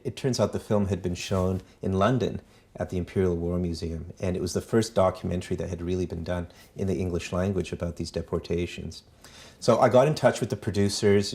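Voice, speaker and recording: A middle-aged man from Canada, a foundation president, recounting his family's history in a measured way with many ums and uhs. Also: low voice, darkish tone.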